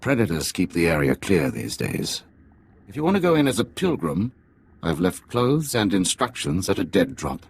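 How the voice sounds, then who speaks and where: A jaded spy in a game, deep and gravelly, really swingy intonation, sardonic. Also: male voice, huge pitch swings, moderate pace, full character voice. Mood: wry briefing. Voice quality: gravelly, husky. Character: jaded male Imperial spy posing as alien tea house owner, middle-aged father. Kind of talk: cinematic video game dialogue.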